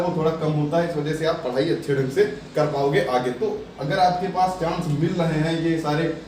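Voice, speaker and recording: A man's voice: a little high, a little mellow. A man giving exam aspirants an earnest update on applications and competition, coaxing them with rhetorical questions. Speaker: exam coaching presenter. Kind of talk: motivational exam update talk. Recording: echoey room from a distant mic with faint noise.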